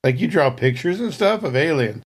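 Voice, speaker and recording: A man's voice: on the high side, lively intonation, normal speed, husky. A man playing a boisterous, hard-drinking space Marine in a tabletop game, slangy, teasing and incredulous. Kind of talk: improvised tabletop roleplay.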